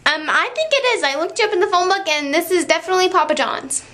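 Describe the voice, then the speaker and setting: A woman's voice: very high voice, animated, fast, heavy background noise. A teenage girl talking casually as an amateur prank caller, recorded in a small room at home. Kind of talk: casual chat. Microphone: camera's built-in mic, close by.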